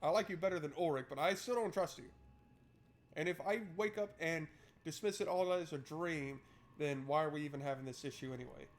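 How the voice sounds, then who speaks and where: Wry male gamer with a highish voice. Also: a little fast. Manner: wry, playful. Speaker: gaming streamer. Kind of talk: let's play, reading aloud and joking commentary.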